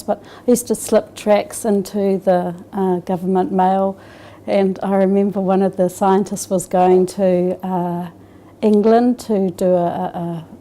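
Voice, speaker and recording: Soft-toned New Zealand woman, hesitant. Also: mid-range voice. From Scotland, raised in New Zealand. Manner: hesitant, many fillers and restarts. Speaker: interviewee. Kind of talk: personal testimony.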